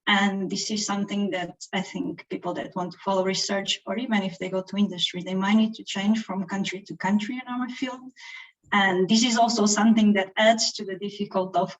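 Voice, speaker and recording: A woman's voice: medium pitch, fairly even intonation, a little fast. A woman in the audience, asking a question politely and searching for her words. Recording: distant, dull-sounding call audio.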